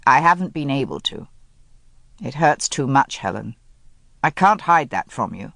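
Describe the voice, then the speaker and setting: A weary, grieving upper-class man, as a woman reader puts him on, with a very deep, low-set voice. He sounds subdued and clipped, and he keeps reproaching himself. The recording is dry and close, with no room around it. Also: sing-song, a little slow, vocal fry, a bit bright, no echo, full character voice. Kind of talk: audiobook character reading.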